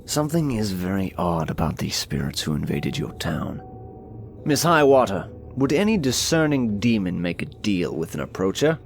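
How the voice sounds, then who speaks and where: A narrator voicing an urbane, aloof, sardonic nobleman with dry, erudite, measured phrasing in an audiobook. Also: sits low, very animated, pitched below the performer's own voice. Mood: musing, sardonic. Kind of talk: audiobook character voice.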